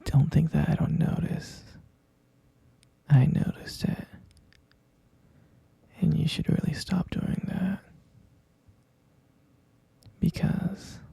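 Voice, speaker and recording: A man playing a hesitant, concerned middle-aged stepfather in a scripted ASMR roleplay, speaking in a breathy, gravelly, halting hush. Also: on the high side, dry recording, heavily acted. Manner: halting, hushed, drawn-out delivery.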